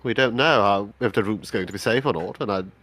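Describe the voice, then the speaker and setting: A man's voice: lowish voice, a little fast, over music. A man roleplaying in a tabletop game, speaking in a polite and rather formal way.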